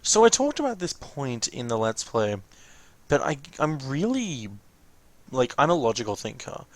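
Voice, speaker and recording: A man's voice: medium pitch, no room sound. Casual man musing over game cutscenes. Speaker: let's watch host. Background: game music behind the voice.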